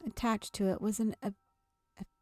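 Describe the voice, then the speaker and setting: A woman with a dark, mellow voice reads story narration aloud as a streamer. She occasionally trips on a word and goes back over it, with faint music behind her. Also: mid-range voice, level tone, normal speed. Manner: occasionally stumbles over words and rereads. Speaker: streamer reading a visual novel aloud.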